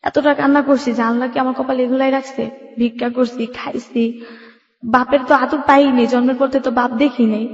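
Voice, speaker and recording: A Bangladeshi-accented woman tells her own life story to an interviewer in plain, colloquial, heartfelt speech. Her voice is fairly high and stays rather flat in pitch as she talks quickly. The recording has a hall-like echo. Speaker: interview guest. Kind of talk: personal life-story telling. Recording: echoey hall, slightly dull sound.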